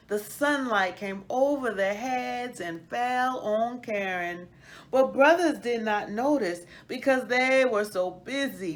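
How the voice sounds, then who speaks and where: Very slow-paced woman reading a children's story. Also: medium pitch, a bit bright. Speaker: host reading a children's book aloud.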